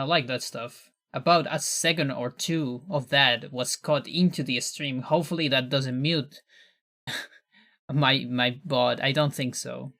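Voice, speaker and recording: A man from Chile, a native Spanish speaker with a mixed American-sounding accent, chatting casually and chuckling while he streams a speedrun. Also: medium pitch. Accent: mixed American with Chilean undertone. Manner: relaxed, chuckling, trails off into murmurs. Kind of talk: casual live-stream chat while playing.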